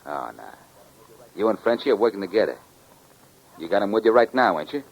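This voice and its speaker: A man's voice: fairly low pitch, lively intonation, a little fast, muffled recording. An actor playing a tough, suspicious gangster henchman with slangy, ungrammatical speech in a 1940s radio crime drama. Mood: threatening. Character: slow-talking, menacing gangster stooge, suspicious and tough. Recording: vintage 1940s radio recording.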